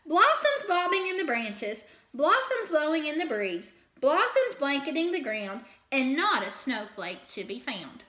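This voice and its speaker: A woman reading a children's story aloud like a teacher, with dramatic, expressive storytelling. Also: high-pitched, unhurried, few pauses, roomy sound, poor audio.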